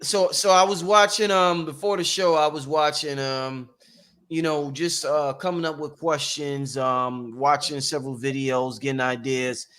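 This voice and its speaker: A man with a notably high-pitched voice hosts a relaxed talk show. He asks loose, rambling questions peppered with slang and ums, sounding like he is in his forties. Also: unhurried. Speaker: host. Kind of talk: casual podcast chat.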